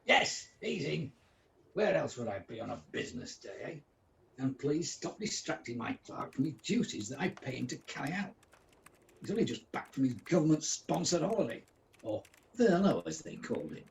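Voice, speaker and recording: A man's voice: a little high, sing-song, normal speed, vocal fry. An actor playing a grumpy, miserly old businessman in a radio play, curt and scornful. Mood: curt, scolding. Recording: home recording with background noise.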